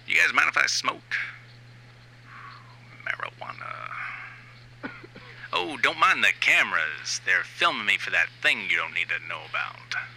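A man performs a brash, aging new wave rocker who cares only about money, in a satirical audio monologue. His voice is very bright and forceful with a gravelly creak, swinging up and down as he rants with sardonic emphasis. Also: on the low side, very animated, a little slow, pauses often, a little hiss or hum, exaggerated voice, low-quality recording. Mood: brash, impatient. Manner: sardonic, emphatic, profane, ranting.

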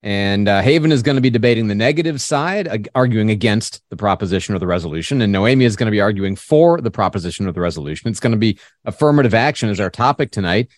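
A man's voice: on the low side, animated, fast, hardly any pauses, no room sound. Affirming male host, dry close mic. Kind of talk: hosting an interview.

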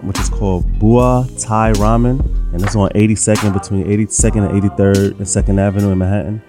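A low-pitched man with a New York accent, his voice a little nasal from a sinus infection. He talks in a loose, slangy, laid-back way as he hosts a casual podcast, and music plays clearly underneath him. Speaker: podcast host.

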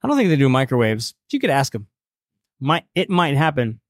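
A man's voice: medium pitch, big pitch swings, fairly quick, no room sound. A man hosting a radio show with a casual, chatty manner, full of fillers and easy laughs, speaking close to a studio mic. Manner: laid-back, chatty, lots of fillers and laughs. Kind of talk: casual on-air chat. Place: studio with noise around. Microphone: close mic with headphones.

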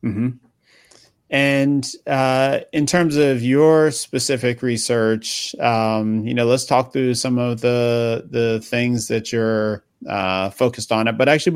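A man's voice: medium pitch, clean tone, no room sound. Male podcast host, dry sound. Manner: conversational, hesitant questions with restarts. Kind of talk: interview podcast.

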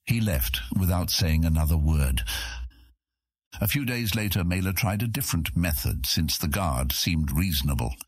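A man's voice: deep voice, expressive, moderate pace, slightly rough, no echo, studio quality. A man narrating a scripted fantasy audiobook as its storyteller.

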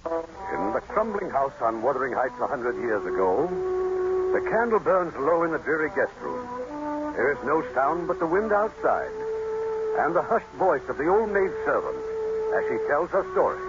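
Animated radio narrator over loud music. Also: male voice, fairly high pitch, normal speed. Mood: ominous. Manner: atmospheric, theatrical. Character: dramatic storyteller setting a gloomy scene. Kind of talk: 1950s radio scripted narration. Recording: dull old radio broadcast, loud music.

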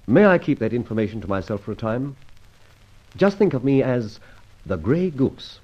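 An actor playing a chivalrous, masked gentleman thief in an old radio drama, speaking in a courtly, commanding, slangy manner. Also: medium pitch, sing-song, moderate pace, slightly rough. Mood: suave, assured. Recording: dull, lo-fi old radio recording.

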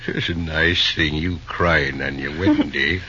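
An actor playing a folksy, tender-hearted old railroad engineer in a vintage radio drama, halting and stammering with feeling. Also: male voice, rumbling low, bright, forceful tone, exaggerated voice. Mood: flustered tenderness. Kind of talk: 1930s-40s radio drama acting. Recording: dull old radio recording, faint hiss.

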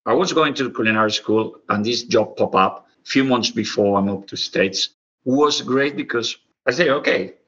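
A man in his forties with a lowish, bright, forceful voice and an Argentine Spanish accent. He tells stories informally and expressively in non-native English, like a chef being interviewed. The audio is slightly dull. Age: 40s. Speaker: professional chef, interview guest. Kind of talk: conversational interview storytelling.

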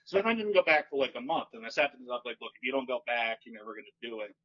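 A man who does stand-up comedy, talking with wry, deadpan storytelling in a fairly monotone voice. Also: medium pitch, rapid-fire, lo-fi sound, slight background noise. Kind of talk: casual podcast chat.

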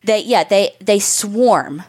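A woman's voice: mid-range voice, slow, a little mellow. A woman with a Southern US accent co-hosting a casual podcast chat, emphatic and wry. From Southern United States. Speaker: podcast co-host.

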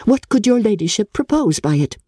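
A woman voicing a proud, composed young gentlewoman in a storybook reading, politely firm and coolly defiant. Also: mid-range voice, very animated, husky, no echo, studio quality, voice pushed up. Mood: cool disdain. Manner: cool, measured, politely firm.

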